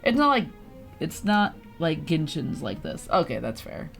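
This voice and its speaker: Lively low-voiced woman streaming a game. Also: a little slow, creaky, soft background music. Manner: playful, exclamatory, muttering to self. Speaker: livestreamer playing a video game. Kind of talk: casual gaming chat with viewers, reacting to play.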